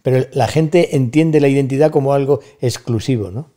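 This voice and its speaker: A man's voice: medium pitch, normal speed, mellow. A middle-aged man with an Andalusian accent, a guest talking at length in a casual podcast conversation, thoughtful and fond of rhetorical asides. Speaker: guest, university staff member.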